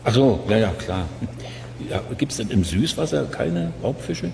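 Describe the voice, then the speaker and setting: A man's voice: lowish voice, very animated, measured pace, creaky, exaggerated voice. A man in a children's radio play playing a curious, chatty amateur monster hunter who muses aloud in hesitant asides. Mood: curious, musing. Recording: noisy cassette transfer.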